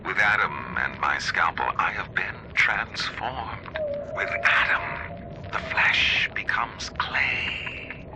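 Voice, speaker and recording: A man's voice: low voice, lively intonation, slow pace, whispered, low-quality recording. An actor playing a vain, obsessed mad surgeon in a video game, brooding and savouring his words theatrically. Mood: rapt, obsessive. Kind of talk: dramatic video game monologue.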